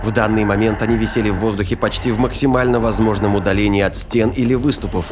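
A man's voice: lowish voice, steady tone, fast talker, background noise. A man narrating a scripted action story as an audio drama narrator. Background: music and sound effects.

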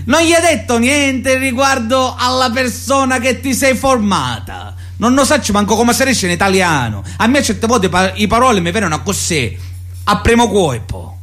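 A man's voice: very high voice, very animated, normal speed, fairly bright tone, soft background music, heavily acted. An actor playing a swaggering, menacing young Sicilian man of honour in a radio drama, boastful and mocking, with a Sicilian accent. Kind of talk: theatrical radio drama monologue.

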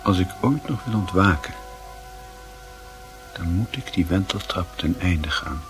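A man narrating a literary radio play in a grave, measured manner, with a very husky, rough voice. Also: sits low, animated, music in the background.

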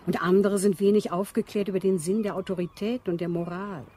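An actress playing a kindly, scatterbrained woman who runs a home for wayward girls in a radio drama; she speaks earnestly and gets gently flustered. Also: mid-range voice, steady tone, fairly quick, a little hiss or hum, natural voice.

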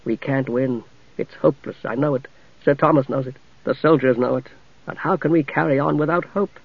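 A man voicing an exhausted half-elven commander who speaks quietly and wearily. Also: a little high, normal speed, no echo. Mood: weary. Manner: quiet, tired. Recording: dull, dry recording.

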